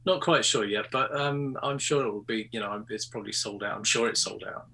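A man's voice: on the low side, steady tone, fairly quick, vocal fry, bright, forceful tone, a little hiss or hum, poor audio. A man in his sixties from England, a photographer chatting as a guest in an interview, hesitant with many ums and restarts, over a video call. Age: 60s. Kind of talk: casual interview chat.

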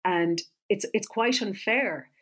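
A woman's voice: medium pitch, slow, a bit bright. A woman historian from the west of Ireland, with an Irish accent, answering interview questions thoughtfully with many fillers and restarts. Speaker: historian and author.

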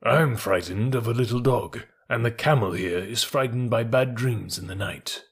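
A man's voice: mid-range voice, normal speed, breathy edge. A man voicing a nervous, easily offended male elephant in a storybook, fussy and self-pitying in manner. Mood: huffy, rueful.

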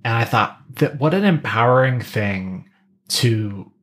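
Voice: mid-range voice, lively intonation, measured pace. A podcast co-host in a casual pop-culture discussion, wry and given to voicing imagined lines.

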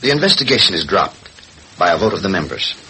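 An actor playing an oily, nervous big-time politician in a 1940s radio drama, unctuous but hesitant and evasive. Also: male voice, low-pitched, very animated, quick pace, bright, forceful tone, heavily acted, low-quality recording. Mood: uneasy, probing. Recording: old radio recording, faint noise.

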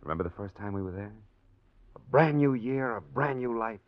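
A man's voice: medium pitch, lively intonation, brisk, close to an everyday voice. A man playing an affable, unsuspecting husband in 1940s radio drama style, eager to please and a little hesitant and coaxing. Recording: dull, close old radio recording.

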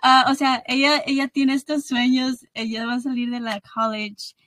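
A woman's voice: high-pitched, flat delivery, a little fast, fairly bright tone, dry recording. A woman in her thirties hosting a casual podcast conversation, switching between Spanish and English mid-sentence. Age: 30s. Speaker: podcast host.

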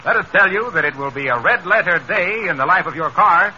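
Piercingly bright 1930s American radio pitchman. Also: animated, fairly quick. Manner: polished, emphatic sales delivery. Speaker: radio announcer. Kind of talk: 1930s radio commercial announcing. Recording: muffled, noisy vintage radio broadcast.

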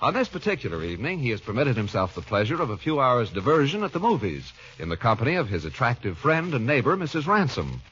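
A man's voice: mid-range voice, sing-song, fast talker, few pauses, fairly bright tone, slightly rough. A man with an American accent doing scripted announcing and narration in 1940s radio style. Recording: muffled vintage radio recording. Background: music.

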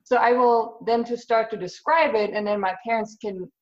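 A woman's voice: fairly high pitch, fairly even intonation, normal speed. A woman podcast host whose first language is German, speaking in a thoughtful, slightly hesitant casual chat. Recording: dull lo-fi audio.

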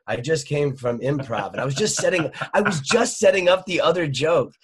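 A man's voice: on the high side, animated, normal speed, breathy edge. A young man from Virginia, a stand-up comic, telling self-mocking stories in a casual interview and laughing often. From Richmond, Virginia. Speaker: stand-up comedian guest.

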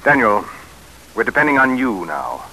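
Forceful, scheming American politician. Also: male voice, medium pitch, very animated, moderate pace, low-quality recording. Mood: conspiratorial, coaxing. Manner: insistent, persuasive. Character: pushy, scheming male American politician, urgent persuader. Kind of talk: old-time American radio drama acting. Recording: hissy vintage radio broadcast recording.

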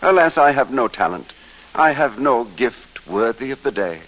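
A man's voice: medium pitch, animated, normal speed, a bit bright, a little hiss or hum, lightly acted, rough recording. A man voicing a humble, simple juggler who pleads earnestly and self-effacingly in an old radio story, with music underneath. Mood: sad, self-pitying.

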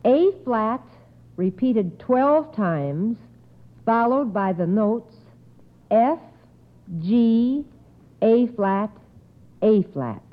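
A woman hosting an old-time radio quiz in a formal, measured, encouraging way with precise wording. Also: fairly high pitch, expressive. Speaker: quiz show host. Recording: tinny, narrow old radio recording.

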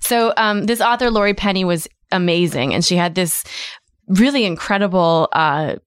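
A woman's voice: lowish voice, moderate pace, smooth, no room sound, studio quality. A woman co-hosting a podcast, chatting casually and laughing, often trailing off mid-thought. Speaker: podcast co-host. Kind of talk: casual chat.

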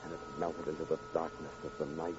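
An actor playing a somber, world-weary New York police detective, grave and dramatic, in a vintage radio noir drama. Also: male voice, rumbling low, little pitch movement, quick pace. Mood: tense, somber. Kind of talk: 1940s-50s radio noir acting and narration. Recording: dull old radio recording. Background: dramatic music.

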